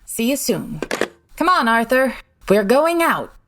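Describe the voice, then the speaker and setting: A woman playing a poised, wry high-society lady detective in a comedic old-time radio style drama. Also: big pitch swings, measured pace, noisy background. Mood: composed, brisk.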